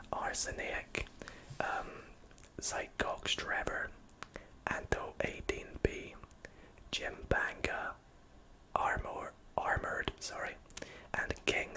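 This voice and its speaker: A person with a Scottish accent whispering softly into a close microphone while answering chat questions on a livestream, with frequent ums. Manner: soft whisper, reading chat aloud with many fillers. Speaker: ASMR streamer.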